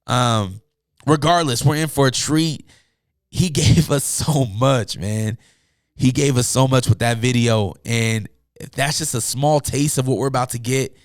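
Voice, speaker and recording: A young man with a hoarse, tired voice hosting a casual solo podcast, rambling loosely with many fillers and asides from a room at home. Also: mid-range voice, measured pace, dry recording, clean studio sound. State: hoarse, losing his voice. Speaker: podcast host.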